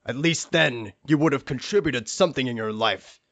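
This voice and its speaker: An actor playing a haughty, hot-headed young parliamentarian in an audio drama, pompous and indignant. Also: male voice, medium pitch, normal speed, fairly bright tone, dry recording, lightly acted. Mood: indignant, scornful.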